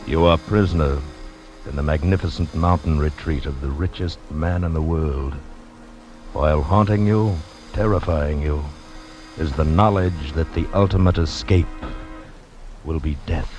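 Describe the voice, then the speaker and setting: An actor playing a menacing, solemn announcer of doom in a 1940s radio thriller. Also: male voice, extremely low pitch, a little slow, darkish tone. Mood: ominous. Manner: menacing, solemn, portentous delivery. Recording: muffled vintage radio recording, music behind.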